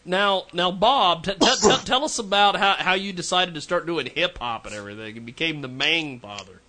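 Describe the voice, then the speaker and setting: A man's voice: high-pitched, lively intonation, normal speed, pushed and bright. A man hosting a podcast, introducing guests in an upbeat way and laughing often. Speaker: host. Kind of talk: casual podcast interview.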